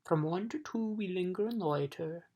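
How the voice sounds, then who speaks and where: A woman reading aloud, voicing lazy, sleepy little creatures who spend the day doing nothing, in a slow, drawling way. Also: fairly low pitch, warm tone, slightly rough. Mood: drowsy.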